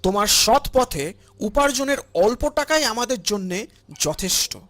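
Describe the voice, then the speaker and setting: Man acting a high-voiced, emotive elderly village father. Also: animated, unhurried, a bit bright, faint music behind, exaggerated voice. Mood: reproachful. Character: elderly honest village father, gentle but reproachful. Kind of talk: cartoon voice acting.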